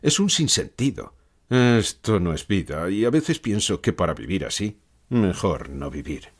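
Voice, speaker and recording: A man acts out a brooding, lucid pessimist thinker for an audiobook. His voice is low and husky, rising and falling widely as he declaims his anguish in theatrical, rhetorical phrases. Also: big pitch swings, measured pace, full character voice. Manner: dramatic, declamatory, rhetorical repeated questions. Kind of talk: acted fictional interview, audiobook.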